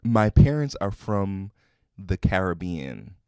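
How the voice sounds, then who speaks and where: Deep-voiced young man, formal and precise. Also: very animated, dark tone, smooth, no room sound. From Minnesota, USA. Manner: formal, carefully enunciated, measured phrasing. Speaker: podcast guest, musician and producer. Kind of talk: casual podcast chat.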